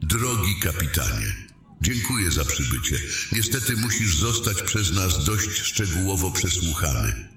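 A man's voice: low voice, big pitch swings, measured pace, a bit bright, heavily acted. A man playing a solemn, courteous king in a fantasy audio drama, speaking in a formal, ceremonial and commanding way. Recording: echoey great hall reverb.

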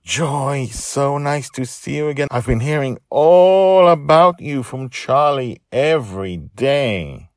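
A man's voice: mid-range voice, lively intonation, takes it slowly, hardly any pauses, no room sound, studio quality, voice pushed up. A man voicing a friendly, eager mother in a storybook, with a sociable, gushing manner. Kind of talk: storybook character voice.

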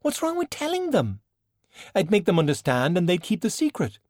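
A man's voice: high voice, huge pitch swings, moderate pace, darkish tone, no echo, clean studio sound, voice pushed up. A man voicing a stubborn, idealistic young woman sorcerer who protests earnestly in an audiobook. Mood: defiant.

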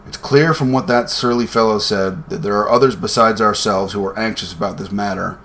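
A man voicing a shrewd gentleman detective in a storybook, bland, measured and confident. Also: on the low side, steady tone, a little hiss or hum. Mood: amused, reflective.

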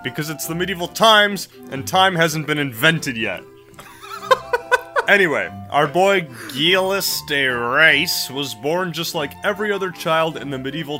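A man's voice: a little high, pushed and bright. A man co-hosting a podcast, telling history stories casually with irreverent, profane, mock-dramatic asides and banter. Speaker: podcast co-host.

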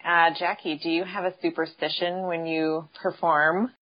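A woman's voice: fairly low pitch, level tone, normal speed, muffled recording. A woman hosting a podcast who chats casually and asks playful, joking questions. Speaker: podcast host. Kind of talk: casual chat.

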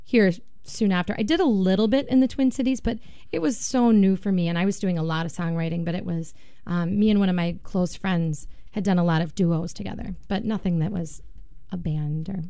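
A woman's voice: on the low side, a little fast, vocal fry, warm tone, clear, resonant tone, no room sound, clean studio sound. A woman from Minnesota, lead singer and pianist in a band, talking casually and laughing often in a radio interview. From Minnesota, USA. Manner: chatty, laughs often, restarts sentences.

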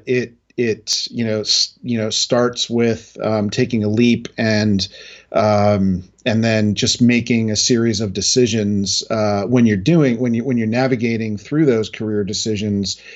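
Low-voiced middle-aged American man, muffled audio. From New England, United States. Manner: halting, reflective, anecdotal. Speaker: interview guest, industry analyst. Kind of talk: casual conversational interview.

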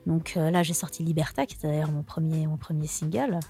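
A woman's voice: low-pitched, a little fast, dark tone. A young woman, a singer-songwriter, answering interview questions in a casual, hesitant way with many restarts. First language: French.

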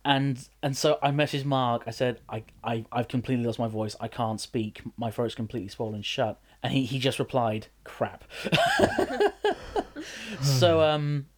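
A man's voice: mid-range voice, a little slow. Chatty man telling stories. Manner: animated storyteller, many restarts. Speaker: wrestler and general manager. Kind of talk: casual podcast chat.

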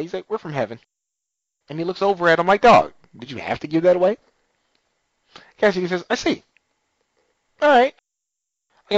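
Animated man retelling a story. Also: sits high, no room sound, lo-fi sound. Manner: animated retelling, acting out characters' lines. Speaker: TV recap podcaster. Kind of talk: casual chat, recapping an episode.

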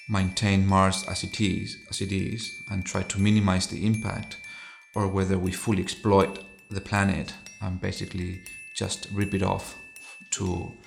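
A middle-aged man from Chile, an associate professor, giving thoughtful interview answers that often pause and restart phrases. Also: low-pitched, creaky.

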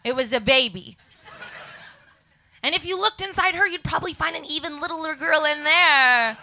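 A young Canadian woman with a scratchy voice doing a deadpan stand-up set before a live audience. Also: high-pitched, normal speed, gravelly. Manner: deadpan one-liners to a crowd. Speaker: stand-up comedian guest.

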